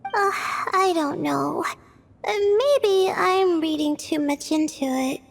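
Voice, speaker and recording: A woman's voice: extremely high pitch, animated, slow, vocal fry, in a large hall, a little hiss or hum, heavily acted. A woman voicing a timid, modest teenage student in an English game dub, hesitant and self-doubting. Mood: unsure, earnest.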